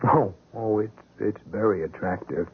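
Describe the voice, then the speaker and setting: An actor playing a wry, stubborn, educated police detective in a 1970s radio drama, with a dry, polite, hesitating delivery. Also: male voice, fairly low pitch, a little slow, husky, exaggerated voice. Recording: muffled, narrow-band old radio broadcast.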